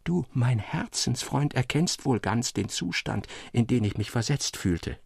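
Gravelly, husky romantic young man. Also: medium pitch, animated, measured pace, a little mellow, no echo, clean studio sound, full character voice. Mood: recollecting. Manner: fervent, rapturous, formal old-fashioned storytelling. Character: romantic young man fervently recounting a dreamlike infatuation to his friend. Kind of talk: dramatic literary narration for radio.

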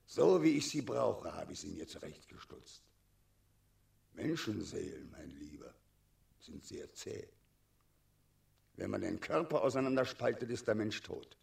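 An actor in a radio drama playing a cruel, sly dragon ruler who gives orders with mock geniality in a gravelly voice. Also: male voice, fairly low pitch, distant mic, exaggerated voice.

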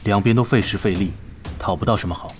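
A man acting as a gaming team's vice-captain in an audio drama. His voice is on the low side, composed and exacting, with terse, measured phrasing. Faint music runs underneath. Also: close to an everyday voice. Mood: analytical. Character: calm, precise male vice-captain of a gaming team.

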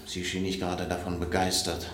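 A self-assured male doctor in a radio crime play. His voice is low, with a precise, dryly factual delivery. The room echoes and there is faint noise behind him. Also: completely flat delivery, a little fast, a bit bright. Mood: matter-of-fact, assured. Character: assured, matter-of-fact male doctor giving a police statement. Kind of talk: naturalistic radio drama acting.

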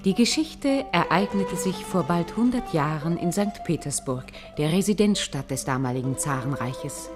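A woman with a low voice narrates a radio drama. Her delivery is measured and wry, yet very varied in pitch, with music clearly behind her. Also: expressive. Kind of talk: expressive scripted radio play narration.

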